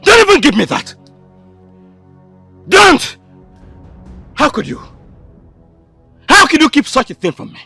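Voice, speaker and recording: An actor playing a betrayed, furious young man who shouts accusations at his friend. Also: very high-pitched, sing-song, a little slow, vocal fry, pauses often, no room sound, soft background music, heavily acted. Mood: heartbroken.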